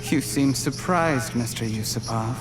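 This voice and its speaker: A man acting as a cold, ambitious schemer in a video game, speaking politely in measured, calculating speeches full of resentment. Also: medium pitch, loud music behind the voice, natural voice. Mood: bitter, defiant.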